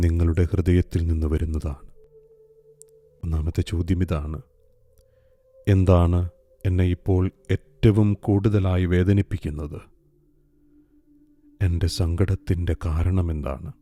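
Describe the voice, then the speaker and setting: A man guiding a meditation in a calm, soft, hushed way. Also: low voice, unhurried, long pauses. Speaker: meditation guide. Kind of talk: slow guided meditation. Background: soft music.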